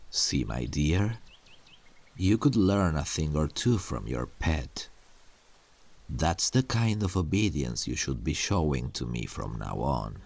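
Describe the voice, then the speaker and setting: A menacing warlord king from a medieval roleplay drama, acted by a man. His voice is husky and full of gravel, swinging expressively as he talks down to his captive queen. He is possessive, deliberate and coldly threatening. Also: rumbling low, darkish tone, exaggerated voice. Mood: mocking command.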